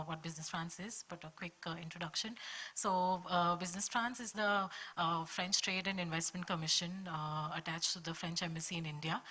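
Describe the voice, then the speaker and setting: A woman trade advisor politely introducing herself on a panel, speaking in a measured way with some fillers. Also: on the low side, sharp tone.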